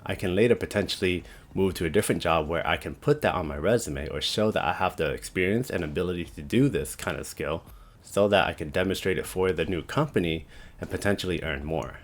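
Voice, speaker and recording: A young man talking casually to the camera about starting a channel, with a deep, monotone voice, rambling with lots of "like" and "you know". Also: brisk. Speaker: YouTube creator. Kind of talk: casual talking-head vlog.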